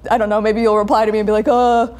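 A young woman chatting casually as an interview guest, animated and acting out conversations as she tells stories. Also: medium pitch, racing. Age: young adult. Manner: animated storytelling, acting out reported dialogue. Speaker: comic creator, interview guest. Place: indoor brick-walled studio room.

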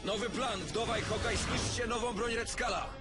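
A resolute cartoon hero captain played by a man with a high voice, earnest and commanding. He drives his team with urgency beneath very loud action music. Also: steady tone, moderate pace, lightly acted. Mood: commanding, urgent. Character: resolute male superhero team leader giving orders. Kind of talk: dubbed animated superhero series acting.

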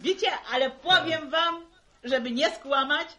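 A woman's voice: high-pitched, measured pace, pushed and bright. A woman playing a hearty, plain-spoken middle-aged farm mother in a 1960s Polish radio serial, full of rustic exclamations. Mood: amused. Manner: rustic exclamations, sighing and chuckling. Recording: noisy old 1960s radio recording.

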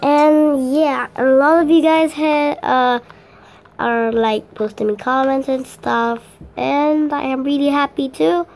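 A teenage girl with a hoarse voice, chatting casually through an unboxing vlog with lots of fillers and restarts. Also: high-pitched, steady tone, takes it slowly, a little mellow. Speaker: vlogger.

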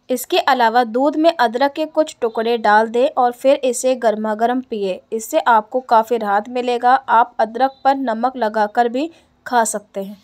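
A woman narrating home remedy tips over text slides in a plain, steady reading voice. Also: fairly high pitch.

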